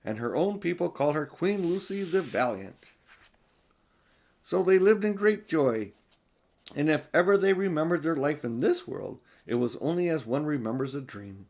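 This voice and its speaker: An older man reads a storybook aloud in a mid-pitched voice. He takes his time over the phrases and leans on key words with clear emphasis, as an amateur-style audiobook narrator would. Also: expressive, moderate pace, a little mellow. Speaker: audiobook reader. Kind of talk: storybook read-aloud with casual asides.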